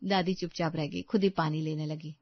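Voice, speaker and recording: A woman's voice: low-pitched, fairly even intonation, moderate pace, no echo, muffled recording. A woman reading scripted narration of a family story as a storyteller.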